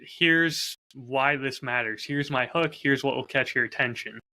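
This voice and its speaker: A man hosts a casual podcast chat. His voice is very bright and forceful at a middle pitch. He comes across as upbeat and enthusiastic. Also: a little slow. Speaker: podcast host and audio drama creator.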